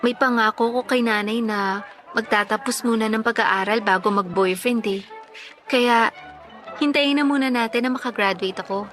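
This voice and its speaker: Female actor as pert young sweetheart, high sharp voice, teasing, sensible, radio drama acting. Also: moderate pace, faint music behind, close to an everyday voice. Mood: earnest, secretive. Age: young adult.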